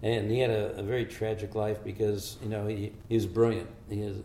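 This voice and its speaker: An older man from Hungary, a physician, giving measured, explanatory answers in an interview. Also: lowish voice, level tone, dark tone, slight background noise. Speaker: physician guest. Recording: echoey room with faint ambience.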